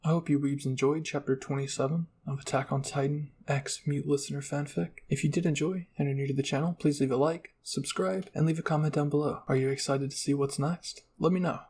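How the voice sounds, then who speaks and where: A man's voice: a little high, fairly even intonation, brisk, hardly any pauses, a little mellow, slightly rough. A man narrating scripted fan fiction as a channel host, in a dramatic ASMR roleplay style.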